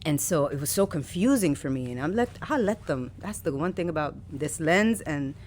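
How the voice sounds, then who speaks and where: A woman poet from New City, a podcast guest telling candid stories about her upbringing with animated, laughing energy. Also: low voice, moderate pace. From New York City. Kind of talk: casual interview conversation. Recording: faint background noise.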